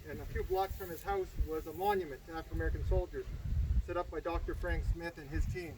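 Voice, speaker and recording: A somewhat high-voiced man speaks earnestly to an outdoor gathering, telling his story with gratitude. Noise around him fills the open-air recording. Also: fairly even intonation, fast. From Estonia. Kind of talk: outdoor public speech, personal story. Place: outdoors by a river.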